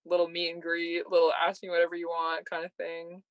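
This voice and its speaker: A woman's voice: fairly low pitch, monotone, a little fast, dry recording, muffled recording. A young woman with a Canadian accent, a podcast guest in casual chat, bubbly and giggly. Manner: bubbly, giggly, says "like" a lot.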